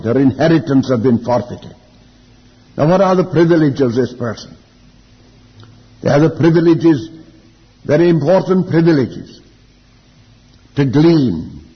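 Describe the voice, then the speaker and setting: Elderly preacher, muffled in a reverberant hall. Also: male voice, fairly high pitch, husky. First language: Welsh. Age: older, elderly. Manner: deliberate, reflective, with rhetorical questions and repeated phrases. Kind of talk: Bible teaching sermon.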